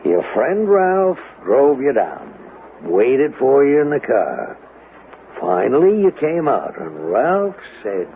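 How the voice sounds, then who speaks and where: An actor playing a hard, skeptical interrogator in a radio drama, speaking in an insistent, sardonic, clipped way. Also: male voice, mid-range voice, huge pitch swings, slow, exaggerated voice. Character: hard, skeptical interrogator pressing a murder suspect. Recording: noisy, narrow-band vintage radio recording.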